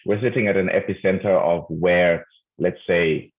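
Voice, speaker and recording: A man's voice: deep voice, fairly even intonation, normal speed. A man from Zimbabwe, a guest entrepreneur, answering earnestly with many fillers over a video call. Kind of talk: interview answers. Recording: tinny phone-like video call.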